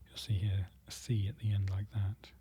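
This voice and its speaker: A presenter whispering an ASMR-style lesson, slowly repeating foreign words and correcting themselves. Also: deep voice, level tone, breathy edge. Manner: whispering throughout, soft and close. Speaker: presenter learning Polish from a book.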